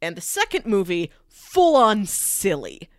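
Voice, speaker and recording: A woman's voice: medium pitch, sing-song, measured pace. A woman co-hosting a podcast, chatting casually about films and peppering her explanations with "like". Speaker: podcast co-host. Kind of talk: casual film discussion chat.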